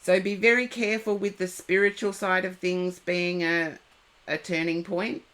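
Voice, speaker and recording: A woman with a head cold, giving tarot readings and gentle advice to viewers on a live stream. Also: fairly low pitch, level tone. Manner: slow, unhurried, gently advising viewers by name.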